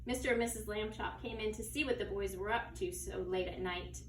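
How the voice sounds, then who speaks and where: A woman's voice: medium pitch, a little fast. A woman teacher reading a children's story aloud. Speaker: second grade teacher. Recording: echoey, distant mic, faint noise.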